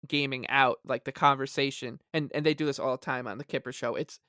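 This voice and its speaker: A man co-hosting a sports podcast, chatting casually in a halting, gleeful, excitable way. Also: medium pitch, dry recording, clean studio sound. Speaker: podcast co-host. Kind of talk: casual hockey fan chat.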